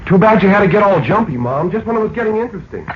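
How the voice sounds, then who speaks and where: Curious teenage son, high pitch, lively delivery, 1940s radio acting, dull noisy recording. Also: male voice, rapid-fire. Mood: eager, curious.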